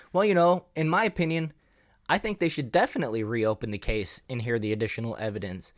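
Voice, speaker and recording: A man's voice: medium pitch, no echo, studio quality. A man hosting a commentary channel, moving between reading news and giving his own opinion in a conversational, emphatic way.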